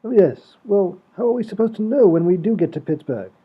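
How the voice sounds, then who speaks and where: Man playing a high-voiced, fussy posh gentleman. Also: animated, brisk, breathy edge. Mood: puzzled, polite. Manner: fussy, old-fashioned polite phrasing. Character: prim, posh, impatient gentleman, easily flustered. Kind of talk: comic radio play acting. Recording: tinny, phone-like sound, music behind.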